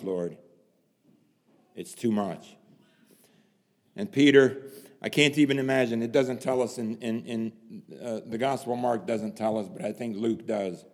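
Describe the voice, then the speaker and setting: A man preaching a sermon, dramatic, swinging from hushed lines to loud emphasis and asking rhetorical questions. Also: on the low side. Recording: long hall reverb.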